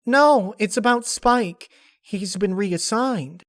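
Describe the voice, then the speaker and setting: A man voicing an excitable female cartoon pony, bubbly and scheming, in an emphatic, eager storybook reading. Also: slow pace, dry recording, clean studio sound. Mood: excited scheming. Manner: emphatic, eager, high-strung. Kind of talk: dramatic fan-fiction character reading.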